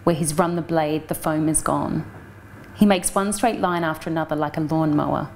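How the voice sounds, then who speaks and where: A woman's voice: sits low, steady tone, normal speed, darkish tone, slight background noise. A young adult woman giving a frank, matter-of-fact first-person reading of a novel. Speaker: audiobook reader.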